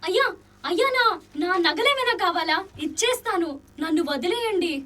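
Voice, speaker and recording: A woman's voice: very high-pitched, animated, normal speed, bright, forceful tone, distant mic, exaggerated voice. An actress playing a frightened but spirited young woman on the run in a radio play, dramatic in delivery. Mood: pleading. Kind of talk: radio play acting in Telugu.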